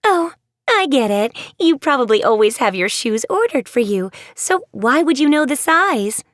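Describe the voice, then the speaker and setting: A woman voicing a bubbly, eager teenage girl who speaks briskly and cheerfully. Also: high-pitched, very animated, a bit bright, no room sound, studio quality, pitched above the performer's own voice. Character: bubbly helpful teen girl, eager and friendly.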